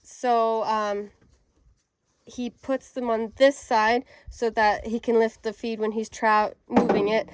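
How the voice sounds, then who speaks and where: A woman's voice: fairly high pitch, flat delivery, takes it slowly. A woman farmer with a cold, chatting casually as she explains her baler outdoors on a field. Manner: chatty and explanatory, a bit self-deprecating. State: has a cold. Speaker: farmer showing new equipment. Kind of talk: casual explanatory vlog chat. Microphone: handheld camera she films with. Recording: loud tractor engine noise behind.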